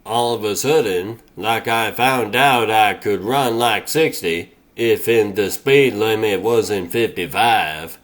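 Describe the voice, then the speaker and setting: A man voicing a slow-witted, folksy turtle superhero in a comic dub, with a rambling American Southern drawl. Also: lowish voice, a bit bright, slight background noise. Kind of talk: comic dub character acting.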